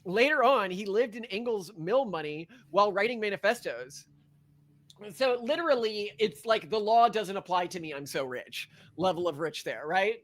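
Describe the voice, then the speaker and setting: A man's voice: high-pitched, lively intonation, moderate pace, fairly bright tone. A man co-hosting a podcast, talking in a rambling, sarcastic way and reading facts off a list. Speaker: podcast co-host. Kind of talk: casual opinionated chat.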